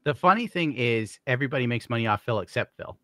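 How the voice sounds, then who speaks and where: A man hosts a casual livestream with a bright, punchy voice. He is blunt and mocking, swears freely, and sounds close and dry on the mic. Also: mid-range voice, lively intonation, fast, no room sound. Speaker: livestream host. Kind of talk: casual livestream banter, reading donations.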